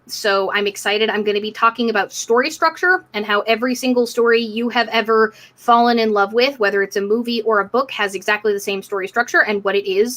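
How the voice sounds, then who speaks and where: Bright, high-voiced woman streamer. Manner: emphatic, outspoken, casually profane. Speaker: livestream host. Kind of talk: live community stream discussion.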